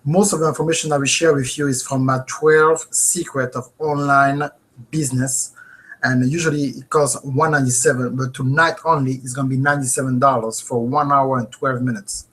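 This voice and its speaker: A man's voice: medium pitch, moderate pace. French-accented man teaching a live webinar. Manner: earnest, animated, repeats "okay?" to viewers. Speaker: host. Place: home office with bookshelf. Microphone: webcam.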